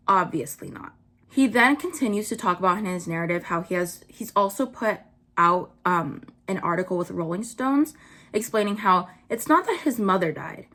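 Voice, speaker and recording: A young woman doing casual commentary, blunt and full of "like" fillers, her pitch jumping up when she gets worked up. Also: bright, forceful tone. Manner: blunt, swears, many "like" fillers. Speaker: vlogger.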